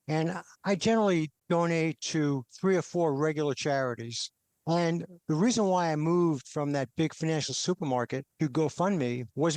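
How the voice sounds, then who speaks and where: Real-customer testimonial: middle-aged man, smooth, conversational, dry close sound. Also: fairly high pitch, a little mellow, no room sound.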